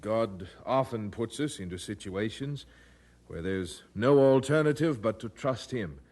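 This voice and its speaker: An actor playing an earnest English preacher in a radio drama, speaking formally and with measured courtesy. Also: male voice, fairly low pitch, lively intonation, a little mellow. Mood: grave. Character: earnest, grave English preacher, travelling chaplain, man.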